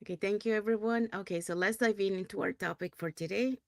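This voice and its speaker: A middle-aged woman from Panama hosting a live online talk over a video call, friendly and relaxed, with frequent fillers. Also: medium pitch, fast, vocal fry, no room sound, clean studio sound. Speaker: host.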